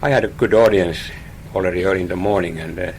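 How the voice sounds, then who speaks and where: A man's voice: low voice, fast talker, a little mellow, slight background noise. A man from Finland, a guest in a radio interview, talking about his hobby with many small hesitations and repeated words.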